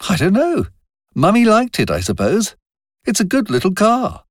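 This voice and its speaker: A man voicing a sharp-tongued young professional woman who speaks in a crisp, dismissive way. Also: high voice, huge pitch swings, normal speed, no room sound, studio quality, pitched above the performer's own voice, full character voice. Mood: defensive.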